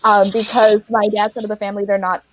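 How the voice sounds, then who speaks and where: A woman's voice: mid-range voice, little pitch movement, quick pace, low-quality recording. A woman on a casual panel, chatting and often laughing, with plenty of fillers.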